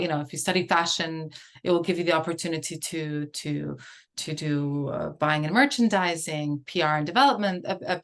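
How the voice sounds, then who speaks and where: Woman guest in her 40s, kinda low voice, lots of ums and restarts as she talks. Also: fairly bright tone. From Portugal. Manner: hesitant, many fillers and restarts. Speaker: interview guest. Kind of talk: casual interview conversation.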